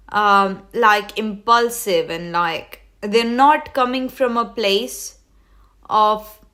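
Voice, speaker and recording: A woman's voice: medium pitch, fairly bright tone. A woman hosting a live tarot reading, talking loosely with many fillers and restarts, a cold coming on. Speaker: tarot reader hosting a livestream.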